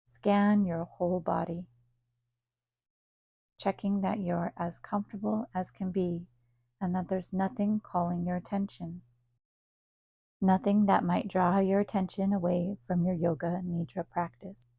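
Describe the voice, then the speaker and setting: Low, creaky-voiced woman guiding meditation. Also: dry recording. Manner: calm, soothing, unhurried, with long pauses. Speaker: yoga nidra teacher. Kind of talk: guided meditation.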